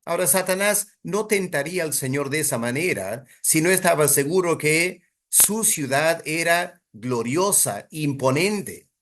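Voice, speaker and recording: Slow, deliberate male Bible teacher. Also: a little high, lively intonation, fairly bright tone. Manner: deliberate, emphatic, rhetorical questions. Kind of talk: expository sermon, Bible study teaching.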